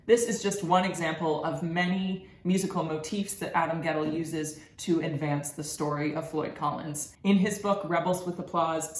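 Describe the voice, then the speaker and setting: A young adult woman giving a prepared academic presentation as a student, articulate and explanatory. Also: lowish voice. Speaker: student presenting thesis research. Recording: echoey room with background noise.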